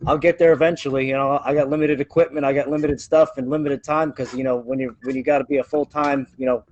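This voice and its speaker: Fast-talking man with dull lo-fi sound. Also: medium pitch, little pitch movement, darkish tone. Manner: quick, laid-back, plain speech. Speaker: co-host. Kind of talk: casual live stream chat.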